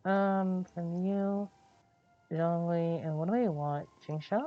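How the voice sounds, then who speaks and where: A woman's voice: lowish voice, steady tone, slow pace, dull audio. A woman chatting casually on a game livestream, thinking aloud as she plays and often correcting herself. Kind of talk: casual livestream gameplay chat. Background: video game music.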